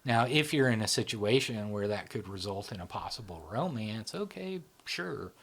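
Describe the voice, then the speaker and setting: A man's voice: fairly low pitch, animated, normal speed, vocal fry, fairly bright tone, breathy edge. Middle-aged man who keeps saying "right?". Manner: rambling, fills with "right?" and "you know". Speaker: show founder and host. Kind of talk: casual explanatory conversation.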